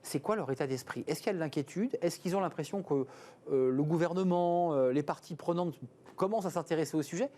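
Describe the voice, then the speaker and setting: Host, man, mellow darker voice, probing and rephrasing questions. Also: on the high side, fast. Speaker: host, interviewer. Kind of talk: radio interview questions.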